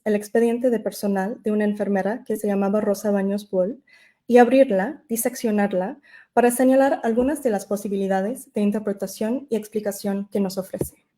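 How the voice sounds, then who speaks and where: Non-native woman reading a lecture, mellow. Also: medium pitch. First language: not Spanish. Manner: measured, careful delivery with occasional self-corrections. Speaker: invited lecturer. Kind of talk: reading a prepared academic lecture.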